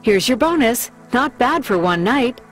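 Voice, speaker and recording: Businesslike woman dispatcher, formal, polite, fairly high voice, scripted game dialogue, light music. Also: animated, unhurried. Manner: formal, courteous. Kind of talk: scripted video game acting.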